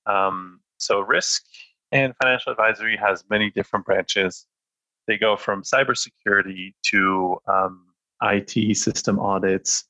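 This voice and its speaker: A man's voice: on the low side, steady tone, measured pace, pushed and bright, no room sound, rough recording. A man from France and Spain, a podcast guest, answering thoughtfully with many fillers and restarts. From born in France, raised in Spain. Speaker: podcast guest, data analyst. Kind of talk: casual interview talk.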